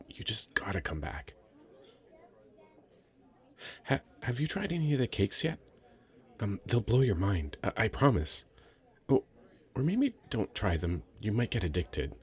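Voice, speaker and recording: A man playing a very shy, sweet young nerdy writer in an ASMR roleplay, hesitant, stammering and soft-spoken. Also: lowish voice, husky. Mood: shy, friendly. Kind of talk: ASMR roleplay, addressing the listener.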